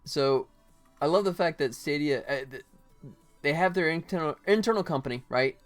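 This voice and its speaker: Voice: mid-range voice, moderate pace. Casual, rambling livestreamer with music behind. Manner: rambling, jokey, acts out mock quotes. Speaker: livestream host, game developer. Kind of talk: casual livestream chat while working.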